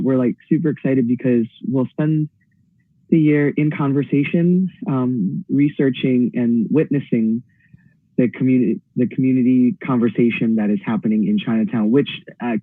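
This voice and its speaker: A man's voice: medium pitch, no room sound. Give me an upbeat, eager man from Hawaii, a performer dialing in remotely, speaking with many fillers over a tinny, phone-like line with a dry sound. His introduction should sound enthusiastic. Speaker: poet and performer. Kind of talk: panel self-introduction.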